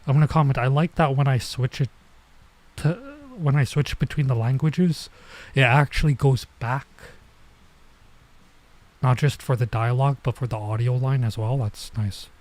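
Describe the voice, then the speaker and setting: Male streamer, mellow mid-range voice, casual game commentary, halting think-aloud delivery with bits of Japanese. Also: medium pitch. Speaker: streamer, let's player. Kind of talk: casual let's-play commentary, reading game dialogue aloud.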